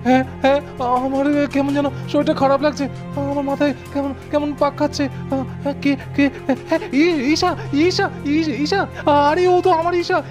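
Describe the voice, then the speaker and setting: A man voicing a friendly, naive, excitable young man in a doll cartoon, chatty and earnest. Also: extremely high pitch, a little fast, hardly any pauses, over music. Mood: distressed.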